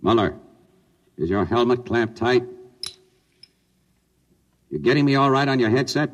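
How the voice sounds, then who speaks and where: An actor playing a terse, commanding general on a tense undersea mission, in 1950s radio drama style. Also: male voice, medium pitch, animated, measured pace, dull audio, close to an everyday voice. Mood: terse, impatient. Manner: terse, urgent, giving orders. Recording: noisy old radio broadcast.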